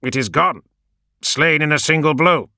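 A dryly courteous adviser who weighs every word and keeps a mock-sober tone, played by a male narrator. The sound is bright, close and dry, like an audiobook booth. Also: on the high side, no room sound, voice pushed up. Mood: careful. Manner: measured, mockingly sober, deliberate.

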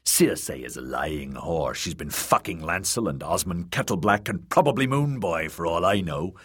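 A man's voice: deep voice, lively intonation, normal speed, a bit bright, slightly rough, exaggerated voice. A man voicing a spiteful male dwarf who snarls in a voice thick with malice. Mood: snarling.

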